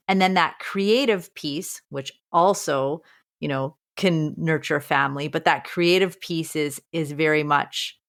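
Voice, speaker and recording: A woman's voice: low voice, vocal fry, fairly bright tone. A woman who is a teacher and podcast co-host, talking earnestly with a strong voice and thinking aloud with frequent restarts. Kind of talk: reflective casual conversation.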